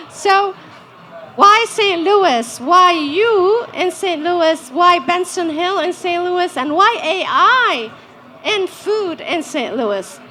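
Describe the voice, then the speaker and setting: A woman's voice: extremely high pitch, bright, forceful tone, slight background noise. A Lebanese-accented woman from Lebanon leading questions on a live panel, enthusiastic and fond of tag questions. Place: stage at a festival panel.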